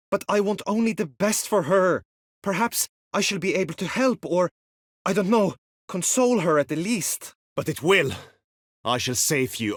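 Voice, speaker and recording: Casting: proud, devoted young knight for a fantasy game. Courtly, earnest, very animated pitch, close dry recording. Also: male voice, dry recording. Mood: anxious, pleading, then tender, devoted. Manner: earnest, courtly, theatrical, archaic phrasing. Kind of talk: video game character acting, courtly formal speech.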